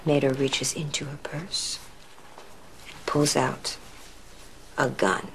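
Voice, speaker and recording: An actress playing an intent radio serial writer who dictates stories dramatically. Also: female voice, extremely low pitch, flat delivery, slow pace, gravelly, slight background noise, full character voice, poor audio. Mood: hushed, suspenseful.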